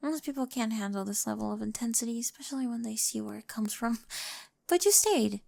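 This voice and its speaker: A nerdy young girlfriend, played by a woman, intense and affectionate, speaks in a high voice with plenty of fry. She is awkward and precise, often slipping toward a whisper. It is a close, very dry ASMR roleplay recording. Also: brisk, a little mellow, dry recording. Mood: earnest, shy. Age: young adult. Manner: hesitant, precise, often dropping to a breathy whisper. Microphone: close microphone.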